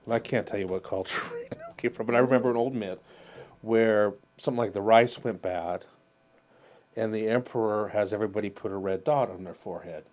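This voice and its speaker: A man's voice: fairly low pitch, brisk, darkish tone. A man co-hosting a podcast, telling a rambling story with hesitations. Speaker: podcast co-host. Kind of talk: casual chat.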